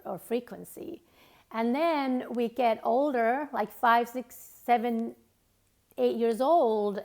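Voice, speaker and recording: A woman from Finland with a Finnish accent, her native tongue being Finnish, giving a reflective motivational talk with frequent fillers and restarts. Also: mid-range voice.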